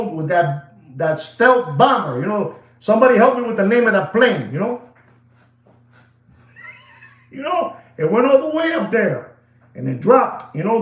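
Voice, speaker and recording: A man, ranting casually to viewers in a rambling way and slipping into Spanish phrases. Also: sits high. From New York, USA. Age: 60s. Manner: animated and rambling, mixes in Spanish phrases, addresses viewers as "papi". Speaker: watch dealer, show host. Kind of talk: casual rant to camera. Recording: echoey room.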